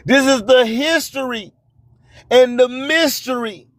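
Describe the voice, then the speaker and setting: A man's voice: very high-pitched, slow pace, fairly bright tone, dry recording, clean studio sound. A man announces a radio show in a boastful, theatrical manner, repeating phrases for effect. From US Gulf Coast. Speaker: radio host. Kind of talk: hype radio station announcing over music.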